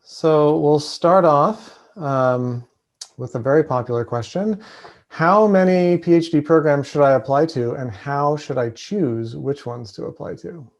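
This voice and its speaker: A man hosting an online panel over a video call, talking in a relaxed way with many fillers. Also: a little high, a little slow, muffled recording. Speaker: host, professor.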